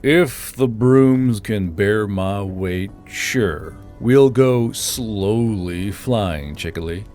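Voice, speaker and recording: A man voicing a calm, protective man in a fantasy audiobook, speaking in a measured, gently chiding way. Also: on the low side, faint music behind, pitched below the performer's own voice. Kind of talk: audiobook dialogue.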